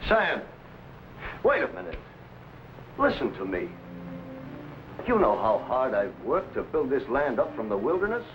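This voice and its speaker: An actor playing a proud, anxious frontier landowner in an old adventure serial, speaking in an earnest, declamatory way. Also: male voice, medium pitch, very animated, unhurried, long pauses, background noise. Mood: pleading. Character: John Sutter, proud frontier landowner, anxious for his land. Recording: dull vintage recording, loud music.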